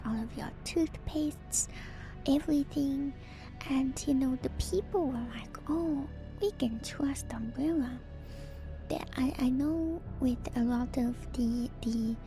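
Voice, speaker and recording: Female ASMR streamer, breathy whisper at an unhurried pace, lore reading mixed with chatty asides, music underneath. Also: high-pitched. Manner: hushed, slow, enthusiastic asides.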